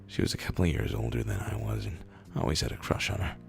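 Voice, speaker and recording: A man with a hoarse, raspy, rumbly voice, reading stories aloud in an unhurried, drawn-out way with pauses. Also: very animated, faint music behind. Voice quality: raspy, rumbly, gravelly fry. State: hoarse from days of screaming. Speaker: narrator reading internet posts. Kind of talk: slow, low dramatic reading of short stories.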